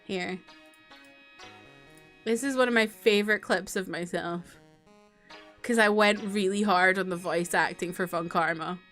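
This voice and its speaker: A woman's voice: mid-range voice, measured pace, long pauses, a bit bright, soft background music. A woman streamer chatting casually about a game and laughing at her own voice acting. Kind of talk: casual gameplay chat.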